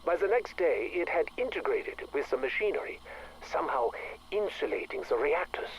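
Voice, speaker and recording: An actor playing a proud, cold scientist director who speaks formally and with measured pomposity in a video game audio log. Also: male voice, a little high, sing-song, dull audio, a little hiss or hum. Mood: proud, clinical. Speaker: project director.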